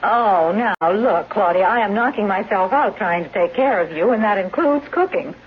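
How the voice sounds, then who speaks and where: Casting: woman to play brassy, exasperated caregiver, medium-pitched and sarcastic; vintage radio mystery with muffled sound. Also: animated, normal speed, close to an everyday voice. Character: brassy, blunt, impatient blonde caregiver, conspiring woman. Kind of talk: old-time radio drama acting. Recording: muffled, hissy, humming old radio recording.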